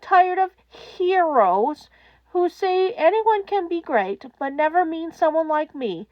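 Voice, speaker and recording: Woman acting a timid, high-voiced despairing boy. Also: very animated, dry recording, muffled recording, exaggerated voice. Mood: weary, despairing. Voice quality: soft, cracking whisper. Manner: halting, stammering. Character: timid, despairing young boy on a phone line. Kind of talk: audiobook character acting.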